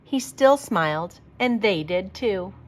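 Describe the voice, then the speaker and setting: A woman reading a children's picture book aloud like a teacher, with expressive, emphatic delivery for young listeners. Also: mid-range voice, slow, slight background noise.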